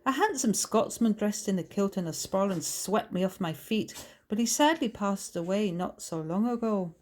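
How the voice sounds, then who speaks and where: A woman voicing a blunt, plain-spoken older Scottish adventurer with a brisk, abrupt manner in a storybook reading. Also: medium pitch, expressive, a little mellow, close to an everyday voice. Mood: cheerful, plain-spoken.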